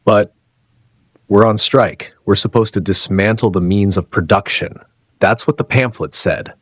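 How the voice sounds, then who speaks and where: Naive cartoon sea sponge , read by a man: earnest delivery, low and husky. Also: measured pace, no echo. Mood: earnest, puzzled.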